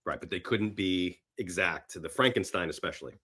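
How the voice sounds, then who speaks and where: A man's voice: deep voice, expressive, normal speed, sharp tone, slight background noise. A middle-aged man talking casually about films as a podcast guest, enthusiastic and rambling, often repeating his phrases. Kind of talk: casual film chat.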